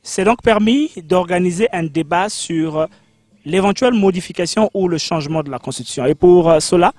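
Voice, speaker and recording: Congolese guy hosting a debate, pretty high voice, formal and deliberate, dry close sound. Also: darkish tone, no room sound. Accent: Congolese French. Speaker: host of a debate programme. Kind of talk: formal broadcast interview introduction.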